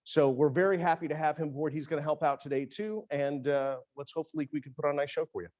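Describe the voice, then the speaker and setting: A man with a somewhat high voice runs a cooking demo as executive chef. He talks in a loose, chatty way and often stops to restart a phrase. He is speaking in a teaching kitchen. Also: quick pace, darkish tone. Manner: casual, chatty, many restarts. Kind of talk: cooking demonstration.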